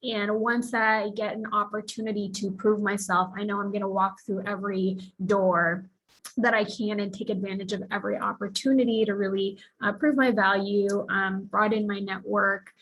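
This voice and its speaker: A woman's voice: medium pitch, flat delivery, normal speed, fairly bright tone, slightly rough, poor audio. A woman from the Philippines speaking earnestly as a panelist, sharing her own path with many fillers. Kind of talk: panel discussion, personal account.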